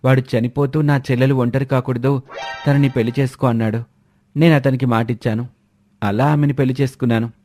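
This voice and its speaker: A man voicing a calm, good-natured young army man and husband in a spoken moral story. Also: mid-range voice, brisk, soft background music, lightly acted. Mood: recounting.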